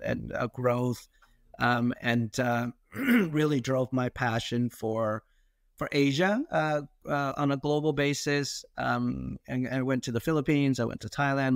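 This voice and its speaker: Middle-aged male, American accent, mid-pitched voice. Reflective, halting interview delivery, recorded dry. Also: creaky, no echo. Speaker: podcast guest, investor. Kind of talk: conversational life-story interview.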